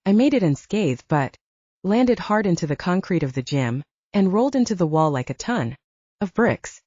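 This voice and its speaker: A woman's voice: on the low side, big pitch swings, moderate pace, mellow, breathy edge, dry recording, lo-fi sound. A woman reading first-person fiction as a scripted audiobook narrator.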